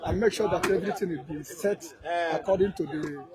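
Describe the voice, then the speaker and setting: A man's voice: high-pitched, fairly quick. A man from Nigeria working venue security, explaining the setup earnestly. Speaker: security staff. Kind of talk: interview answers. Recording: loud crowd behind. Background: crowd noise.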